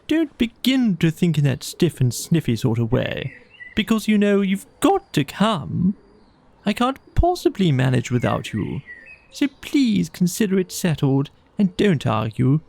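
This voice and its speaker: A man voicing a boastful, pushy toad gentleman for a children's audiobook, imploring and grandly persuasive. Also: high voice, huge pitch swings, measured pace, gravelly, pitched above the performer's own voice, heavily acted. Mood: imploring, bossy.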